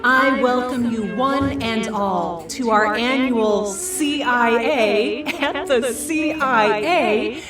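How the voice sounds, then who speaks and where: Actress as grand lady announcer, echoey and far off, loud music, audio drama gala. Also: a little high, slow, few pauses. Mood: grand, welcoming.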